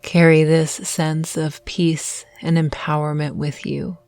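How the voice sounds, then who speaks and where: Slow, low-pitched woman guiding meditation. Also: little pitch movement, no room sound. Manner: soothing, gentle, measured with long pauses. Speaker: meditation guide. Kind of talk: guided meditation narration. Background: soft meditation music.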